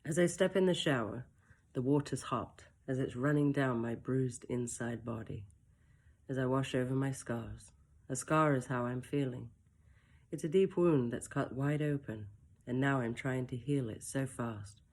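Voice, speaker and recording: Woman author reading her own first-person story, close mic, soft and reflective, with wry asides. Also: rumbling low, measured pace. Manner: soft, emotional and reflective, with brief self-mocking asides.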